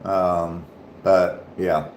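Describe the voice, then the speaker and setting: A man from Ohio with a mild southern Ohio accent and a deep voice, chatting casually on his livestream and answering viewers' comments. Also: little pitch movement, extremely slow, a little mellow, echoey room, a little hiss or hum. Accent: southern Ohio, slight Appalachian touch. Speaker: livestream host.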